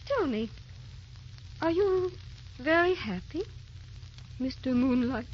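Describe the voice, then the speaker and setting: An actress playing a gentle, youthful woman with a secret sorrow, tender and wistful, in old-time radio drama style. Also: sits high, expressive, slow pace. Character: youthful, gentle, secretly sorrowful wife posing as a distant cousin. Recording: dull old radio recording, music behind.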